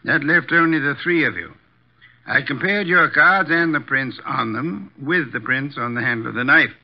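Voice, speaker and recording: An actor playing an imperious, erudite armchair detective with formal, deliberate diction, in 1950s radio drama style. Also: male voice, medium pitch, creaky, lightly acted. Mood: methodical, grave. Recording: dull vintage 1950s radio recording.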